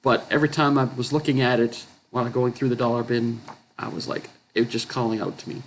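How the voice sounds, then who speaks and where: A man chatting casually about his comic book haul, his voice going hoarse and rough, clearing his throat often and correcting himself as he rambles. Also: mid-range voice, fairly even intonation, fast, slight background noise, poor audio. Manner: rambling, self-correcting, chuckling. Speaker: comic book collector vlogger.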